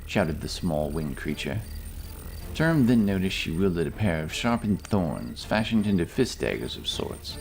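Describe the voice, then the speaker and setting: A man narrating a scripted fantasy story in a measured, dramatic storytelling manner. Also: deep voice, vocal fry, darkish tone, poor audio.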